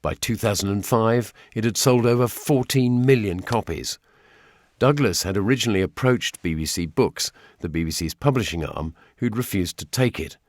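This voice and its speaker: A man's voice: on the low side, no echo. A British man presenting a documentary, with narration and personal reminiscence.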